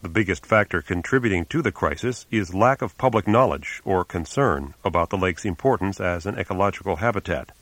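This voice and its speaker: Low-voiced male radio host. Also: fairly bright tone, no echo. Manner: measured, announcer-like delivery. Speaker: radio show host and reporter. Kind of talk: scripted radio narration and interview.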